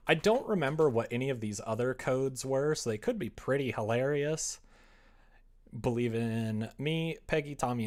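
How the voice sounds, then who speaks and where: A man chatting casually on a livestream as he works, rambling and self-deprecating, often muttering to himself, with a gravelly, creaky voice. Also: medium pitch. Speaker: live streamer, game developer. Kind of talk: casual livestream chat while working on screen.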